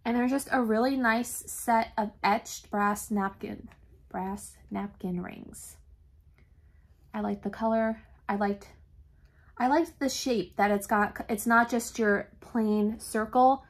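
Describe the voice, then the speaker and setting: A chatty, enthusiastic woman, talking straight to her desk camera in a home craft room. Her voice sits a touch high, and it sounds a little raspy and congested from allergies, with the odd throat clearing. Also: fairly even intonation, takes it slowly. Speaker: reseller vlogger. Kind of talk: casual shop-with-me haul chat.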